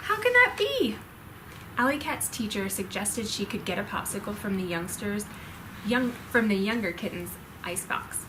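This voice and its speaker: A woman's voice: mid-range voice, moderate pace, noisy background. A woman storyteller reading a children's storybook expressively to her child.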